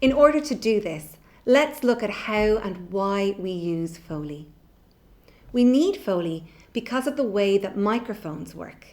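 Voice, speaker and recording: A middle-aged woman presenting a children's workshop in a clear, deliberate, expressive way. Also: mid-range voice. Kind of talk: scripted lesson presentation for children. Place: small indoor room. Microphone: microphone on the desk close by.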